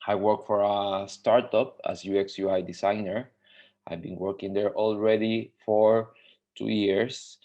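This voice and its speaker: A man's voice: on the low side, steady tone, a little slow, mellow. A man from Mexico, a UX designer, teaching a live webinar tutorial with many fillers and restarts. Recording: dull lo-fi webinar audio.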